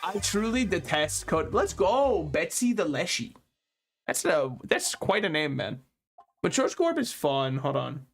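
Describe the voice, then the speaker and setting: Young man, game streamer, slightly high voice, jokey rambling commentary, faint music behind. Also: big pitch swings, measured pace, vocal fry. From United States. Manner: joking, rambling, self-mocking. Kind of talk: casual gameplay commentary.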